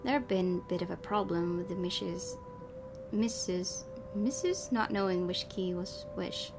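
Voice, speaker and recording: A woman's voice: on the low side, a little slow. A woman hosting a gaming video, reading visual novel narration aloud with casual asides. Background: game music.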